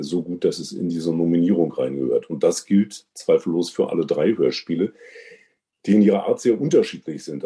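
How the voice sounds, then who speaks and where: A man giving deliberate, halting and wordy explanations as an interview guest and award juror. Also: low voice, warm tone. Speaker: podcast guest and radio drama award juror. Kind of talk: unscripted interview commentary.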